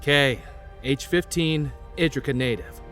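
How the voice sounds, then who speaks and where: A man's voice: medium pitch, a little slow, a bit bright, soft background music, lightly acted. An actor playing a dutiful fifteen-year-old boy soldier who speaks formally. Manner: formal, stating rank.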